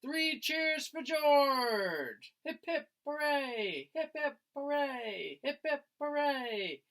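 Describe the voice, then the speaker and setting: Man playing a worried storybook mom, high raised voice, bright, protective, urgent calls. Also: very animated, very slow, vocal fry, heavily acted. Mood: cheering. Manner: calling out urgently. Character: worried mother calling out warnings, protective.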